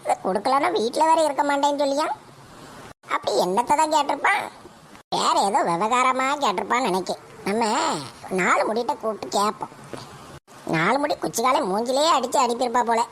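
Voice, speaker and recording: A woman's voice: sits high, expressive, brisk, fairly bright tone, a little hiss or hum, voice pushed down. A woman voicing a nosy, bickering old grandmother, fussing and scolding. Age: older.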